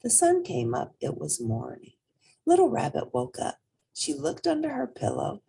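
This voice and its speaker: A woman reading a children's storybook aloud in an expressive narrating style. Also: high voice, normal speed, gravelly, breathy edge. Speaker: storybook reader.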